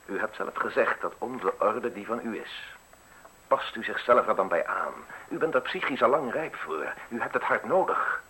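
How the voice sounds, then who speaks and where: An actor playing a suave, menacing justice official in a radio drama, speaking in measured, formal, insinuating phrases. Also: male voice, fairly low pitch, lively intonation, bright, forceful tone, slightly rough, full character voice, poor audio. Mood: insistent, ominous. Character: suave, menacing male state justice official offering a deal.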